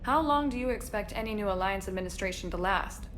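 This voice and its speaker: A woman acting a bold war reporter, medium pitch. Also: expressive, fast, a bit bright, slight background noise, lightly acted. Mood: professional, probing. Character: bold, probing female war correspondent. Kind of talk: video game dialogue acting.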